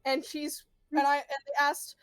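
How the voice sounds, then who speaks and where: A woman's voice: sits high, monotone, normal speed, no echo. A woman chatting casually as a player in a tabletop game. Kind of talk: casual chat.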